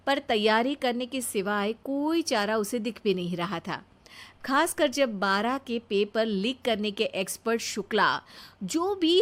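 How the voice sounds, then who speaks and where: A woman narrating a scripted comic story in a wry, expressive storyteller's manner. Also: fairly high pitch.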